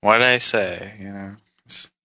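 A relaxed, chatty man talks easily with a friend between prank calls. His voice sits low but has a bright, sharp edge. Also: measured pace, dry recording. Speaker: prank call co-host. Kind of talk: casual chat.

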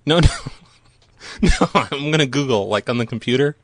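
A man's voice: mid-range voice, moderate pace, a bit bright, dry recording. A man making a prank phone call, playful and teasing, keeping up a mock-earnest act. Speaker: prank caller.

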